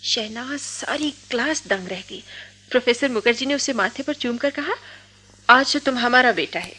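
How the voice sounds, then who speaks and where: Shy, lovestruck college girl, high-voiced. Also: normal speed, bright, forceful tone, a little hiss or hum. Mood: shy, flustered. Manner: coy, hesitant, emotional. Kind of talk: Hindi radio drama acting.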